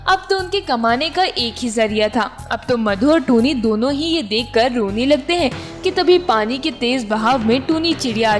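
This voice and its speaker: A woman narrates a children's moral tale from a script in a high-pitched voice. Music plays clearly behind her storytelling.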